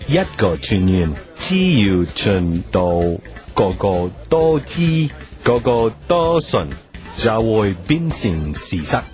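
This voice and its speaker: An actor playing a powerful, measured media tycoon in a Cantonese radio drama, speaking in halting, deliberate phrases. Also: male voice, lowish voice, big pitch swings, a little mellow, faint music behind. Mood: measured, assured.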